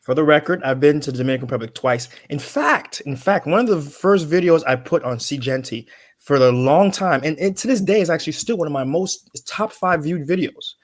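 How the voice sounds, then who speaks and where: A man's voice: on the high side, expressive, brisk. A man hosting an interview show, talking casually and rambling with many restarts. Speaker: podcast host.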